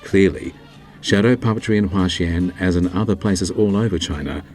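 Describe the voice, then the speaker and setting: A man narrates a documentary in a very low voice. His delivery is measured and formal, with faint music behind him. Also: a little mellow.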